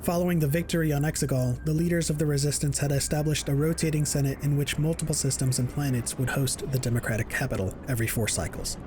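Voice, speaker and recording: A man's voice: a little high, fast talker, few pauses, noisy background. A man reading scripted narration for a science fiction audio drama. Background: music.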